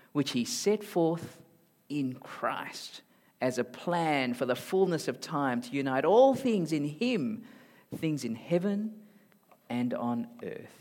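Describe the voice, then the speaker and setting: A man from Malaysia with a mixed Malaysian, Singaporean and Australian accent, preaching a sermon emphatically and rising to loud high emphasis. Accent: mixed Malaysian, Singaporean and Australian English. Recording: hall reverb.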